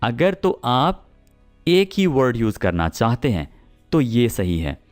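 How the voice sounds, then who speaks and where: A man with a Pakistani accent teaching spoken English, explaining patiently and repeating example sentences slowly. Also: mid-range voice, big pitch swings, soft background music.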